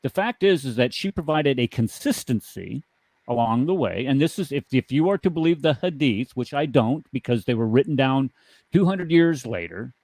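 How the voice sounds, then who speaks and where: Man, 50s, mid pitch, challenging debate host, dry close-mic sound. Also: lively intonation, moderate pace, darkish tone, dry recording, poor audio. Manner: pointed, challenging questions. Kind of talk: online debate.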